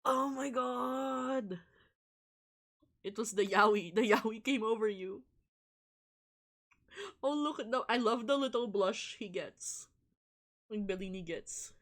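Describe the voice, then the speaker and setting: Female game streamer, higher voice, unhurried read-aloud with giddy, dramatic reactions. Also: a bit bright. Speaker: livestreamer. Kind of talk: reading a visual novel aloud with excited live reactions. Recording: music behind the voice. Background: game background music.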